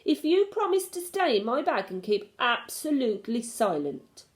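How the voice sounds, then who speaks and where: A woman's voice: a little high, very animated, normal speed, voice pushed up. A woman voicing a shrewd, affectionate old grandmother in a storybook, with an emphatic, doting manner. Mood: fond, firm.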